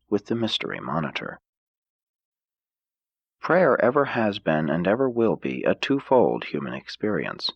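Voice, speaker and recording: A man with a low, husky voice narrates an audiobook in formal, measured phrases. His pitch moves in lively swings across sentences. The sound is dry and somewhat muffled. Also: dry recording.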